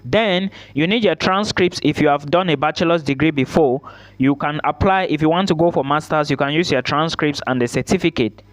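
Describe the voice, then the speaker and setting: A man's voice: fairly high pitch, brisk. A man hosting his own channel, giving emphatic advice straight to the viewer, repeating key points and checking in with "okay?". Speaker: study-abroad YouTuber. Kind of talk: direct-to-camera advice vlog.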